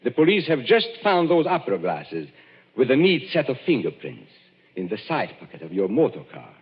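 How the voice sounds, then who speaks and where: An actor playing a shrewd gentleman detective, unmasking a killer in 1940s radio-drama style, measured and commanding. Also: medium pitch, wildly swooping pitch. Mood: calm, triumphant. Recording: muffled 1940s radio broadcast.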